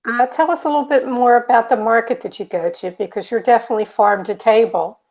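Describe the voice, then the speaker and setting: A woman's voice: fairly high pitch, fast talker, a little mellow, highs cut off. A woman hosting a show, asking questions in a conversational interviewing style. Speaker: host, interviewer.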